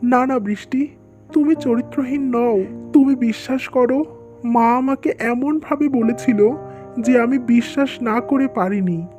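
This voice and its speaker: A woman's voice: a little high, unhurried, mellow, lightly acted. Woman acting young husband in a cartoon, music behind. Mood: remorseful, pleading. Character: stern, hurt young husband and son. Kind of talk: dubbed cartoon drama dialogue.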